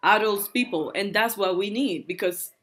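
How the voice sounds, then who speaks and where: A woman from Cuba, speaking in an online panel talk, halting and searching for English words. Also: medium pitch, a bit bright. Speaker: workshop speaker.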